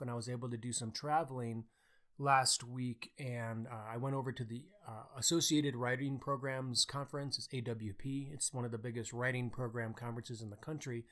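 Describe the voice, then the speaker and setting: Male podcast co-host, medium pitch, casual literary chat. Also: fairly bright tone.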